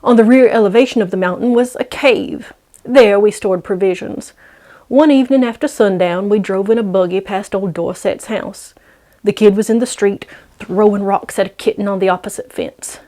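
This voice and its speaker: A woman's voice: medium pitch, normal speed, dark tone. A woman storyteller narrating in a dramatic, scripted read-aloud style.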